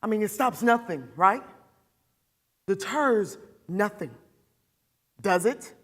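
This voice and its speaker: A woman's voice: mid-range voice, animated, husky. Woman acting a bitter, wounded, defensive mother. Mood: agitated, bitter. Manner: halting, defensive, with pointed rhetorical questions. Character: embittered, wounded mother deciding her attacker's punishment. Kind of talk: dramatic stage monologue.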